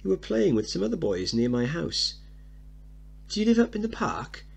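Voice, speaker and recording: A man's voice: on the high side, sing-song, normal speed, vocal fry, breathy edge, voice pushed up, heavily acted. A man playing a scruffy, cheeky, boastful seven-year-old working-class boy, slangy and blunt, in a stage play reading.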